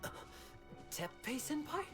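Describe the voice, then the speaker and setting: A woman's voice: sits high, big pitch swings, unhurried, music in the background. A woman playing an earnest, hesitant young army trainee in an anime fantasy game, easily discouraged and respectful. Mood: timid, hopeful.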